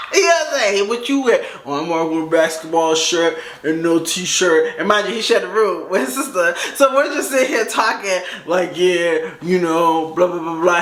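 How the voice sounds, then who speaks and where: Animated woman telling a story, echoey room. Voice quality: fairly deep voice. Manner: animated, laughing, acts out quoted voices. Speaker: storytime vlogger. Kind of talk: casual storytime.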